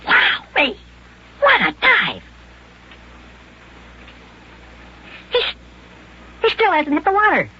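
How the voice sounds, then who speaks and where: An actor voicing an excitable, whiny little elf boy with a small boyish voice in a children's radio serial. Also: very high voice, unhurried, heavily acted. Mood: amazed, eager. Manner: exclamatory, whiny when put out. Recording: muffled old radio recording with loud music.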